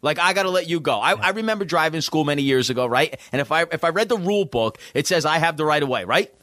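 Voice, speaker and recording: Animated New man in his forties. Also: on the high side, quick pace, dry recording, clean studio sound. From New Jersey. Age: 40s. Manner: animated, emphatic storyteller. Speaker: radio show co-host. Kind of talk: casual banter. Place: radio studio.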